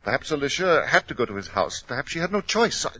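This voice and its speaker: An actor playing a tense, indignant and evasive English doctor in 1940s radio drama, with a clipped, halting manner. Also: male voice, mid-range voice, very animated, pushed and bright, breathy edge, exaggerated voice. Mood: agitated. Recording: muffled 1940s radio recording.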